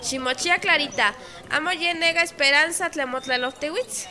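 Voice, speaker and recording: An actress in a radio drama playing Remedios, a lively, friendly village woman. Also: high-pitched, moderate pace.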